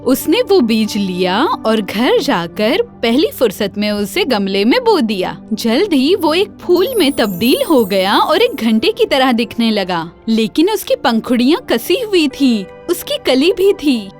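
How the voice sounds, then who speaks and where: A woman narrates a children's fairy tale as a scripted storyteller. Her voice is high. Soft music runs underneath. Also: big pitch swings, hardly any pauses. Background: faint music.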